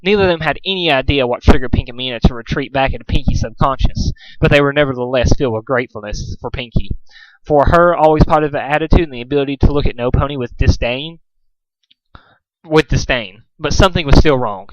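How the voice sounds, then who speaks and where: A man's voice: mid-range voice, fast, dry recording, lo-fi sound. A man reading a story aloud as an amateur narrator, stumbling over and repeating phrases now and then. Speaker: fan fiction reader, narrator.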